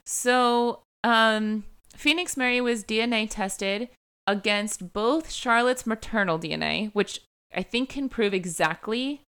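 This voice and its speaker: A woman's voice: a little high, unhurried, few pauses, a bit bright, smooth. A young woman hosting a true-crime podcast, telling a case in a casual chatty way, full of "like" and "um" fillers. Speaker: podcast host.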